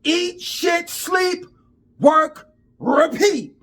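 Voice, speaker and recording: A man's voice: very high-pitched, lively intonation, extremely slow, fairly bright tone. A man in his fifties giving an emphatic, profane, preachy rant to camera in street talk. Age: 50s. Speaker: vlogger.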